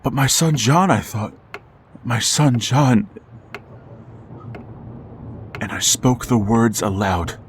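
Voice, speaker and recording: A man's voice: medium pitch, lively intonation, a little slow, a little hiss or hum, natural voice. A man acting a grieving father who narrates and pleads with his returned son in a radio drama, with a stammering, emotional delivery. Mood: haunted.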